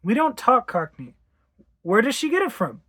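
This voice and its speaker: Female reader as anxious mother in a story, emphatic, strained, voice lifted high. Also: sing-song, normal speed, a bit bright, slightly rough, no echo, clean studio sound, exaggerated voice. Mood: distraught. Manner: tense, emphatic. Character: anxious, fretful young mother at her nerves' end.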